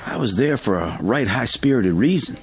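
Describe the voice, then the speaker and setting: A man playing a young, smooth-talking country sweetheart with an Appalachian accent in a radio drama. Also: mid-range voice, expressive, moderate pace, noisy background, natural voice. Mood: tender, teasing. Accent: Southern US Appalachian.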